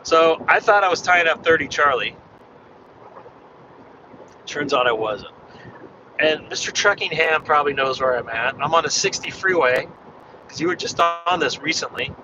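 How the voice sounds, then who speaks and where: A man slightly hoarse from losing his voice, chatting in a rambling way while driving. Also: on the high side, lively intonation, unhurried, long pauses. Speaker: ham radio vlogger. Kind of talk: casual chat while driving. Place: inside a car on a freeway. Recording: dull muffled car recording with road noise. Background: road and engine noise.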